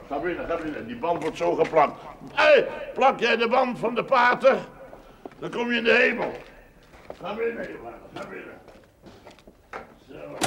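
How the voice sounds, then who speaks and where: An actor playing a boastful, middle-aged rich village farmer in a television period drama, hearty, blunt and earthy. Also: male voice, fairly high pitch, vocal fry, exaggerated voice. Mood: hearty, bossy. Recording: old TV soundtrack with background noise.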